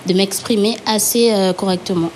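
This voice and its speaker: A young woman speaking haltingly in an interview, not in her native language. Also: medium pitch, fairly even intonation, darkish tone, a little hiss or hum, rough recording. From French Guiana, Bushinengue community. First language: a language other than French. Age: young adult. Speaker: adult learner. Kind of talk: interview answer.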